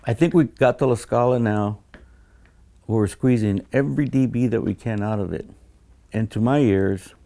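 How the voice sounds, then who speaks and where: A man speaking as a design engineer in an explanatory interview, measured and technical, often pausing mid-phrase. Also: medium pitch, mellow.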